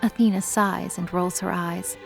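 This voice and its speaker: A woman's voice: on the low side, steady tone, normal speed, gravelly, rough recording. A woman narrator reading a scripted dramatic story. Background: music bed.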